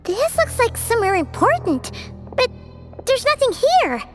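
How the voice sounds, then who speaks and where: Fairy sidekick, very high squeaky voice, bouncy pitch, fretful, game music behind. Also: female voice, big pitch swings, measured pace, heavily acted. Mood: worried. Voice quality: squeaky, refers to herself by name. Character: tiny floating female fairy companion, excitable and fretful. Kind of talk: video game cutscene dub. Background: soft game music.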